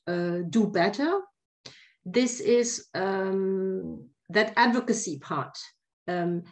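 A woman panelist speaking in an online discussion over a video call, hesitant with many fillers and restarts. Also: medium pitch.

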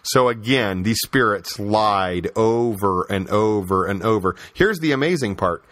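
A man's voice: fairly low pitch, expressive, measured pace, fairly bright tone, no room sound. A man hosting a podcast, giving opinionated religious commentary and storytelling in an emphatic, conversational way, with repeated phrases and rhetorical questions. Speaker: podcast host.

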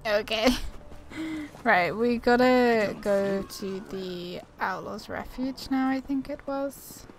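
A woman's voice: a little high, slow pace, slight background noise. A woman giving casual commentary as she plays a video game. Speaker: gamer, video host.

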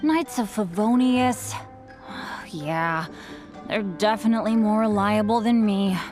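A woman's voice: mid-range voice, takes it slowly, a little mellow, faint music behind, close to an everyday voice. A woman voicing an earnest, self-deprecating teenage boy adventurer in a video game, with a youthful boyish voice. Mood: rueful. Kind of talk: video game character acting.

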